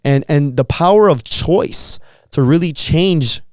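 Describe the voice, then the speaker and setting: A man's voice: on the high side, slow, no echo, studio quality. A man in his thirties co-hosting a podcast, talking earnestly and encouragingly in a casual motivational chat. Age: 30s. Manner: earnest, encouraging, repeats words when thinking. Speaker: podcast co-host.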